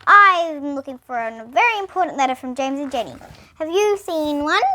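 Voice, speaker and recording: Storybook Christmas old man, read by a girl: kindly, jolly, high-pitched, animated. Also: moderate pace. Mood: curious.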